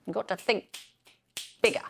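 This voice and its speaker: A woman's voice: normal speed, a bit bright, slight background noise, lightly acted. An actress playing a bitter, theatrical middle-aged mother who speaks with pompous sarcasm in a film drama. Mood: scornful, boastful. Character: bitter, theatrical mother hosting a hostile family quiz. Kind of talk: acted film dialogue.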